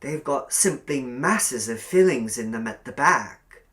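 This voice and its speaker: A man's voice: mid-range voice, expressive, measured pace, a bit bright. A man voicing a polite, earnest, slightly puzzled seventeen-year-old boy in an audiobook, with a hesitant manner. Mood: modest, hesitant. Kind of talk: audiobook character acting.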